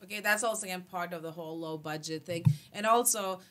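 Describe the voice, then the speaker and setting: A woman's voice: lowish voice, quick pace, crowd noise. A woman who is not a native English speaker, a guest filmmaker chatting animatedly in a small radio studio on a desk mic. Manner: animated, filler-heavy, emphatic. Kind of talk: casual interview chat.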